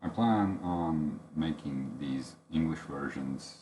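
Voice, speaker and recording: A man's voice: rumbling low, takes it slowly, noisy background. A man whose first language is Latvian gives a thoughtful, unedited spoken book review, searching for words as he goes.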